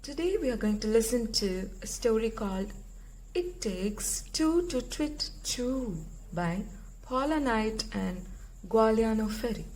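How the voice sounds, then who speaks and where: A woman reading a picture book aloud for young children in a deliberate storytelling manner. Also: on the high side, expressive, a little mellow, slight background noise.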